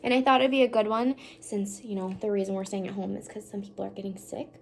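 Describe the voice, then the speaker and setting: A woman's voice: medium pitch, steady tone, fast talker, vocal fry, slight background noise. A woman presenting a picture book read-aloud for children, informally explaining and putting questions to her listeners.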